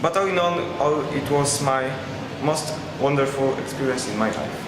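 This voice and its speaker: A man's voice: mid-range voice, lively intonation, moderate pace. A man from Poland, a student who speaks earnestly and a little nervously. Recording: echoey room with loud background noise.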